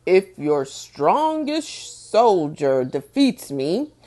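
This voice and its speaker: A woman voicing a boastful giant enemy soldier in a children's storybook, booming out challenges. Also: big pitch swings, extremely slow, mellow, exaggerated voice.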